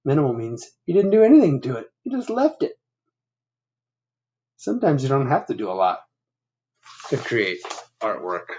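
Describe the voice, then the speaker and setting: A man's voice: medium pitch, big pitch swings, normal speed, long pauses, a little mellow, highs cut off. A man in his fifties reading a picture book aloud to children, with simple, instructive, conversational asides. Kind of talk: read-aloud with explanatory asides. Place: small room at home.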